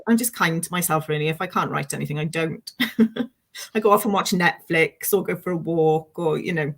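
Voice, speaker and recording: A woman's voice: low-pitched, moderate pace, gravelly. A woman speaking as a guest author in a casual interview, informal, enthusiastic and self-deprecating.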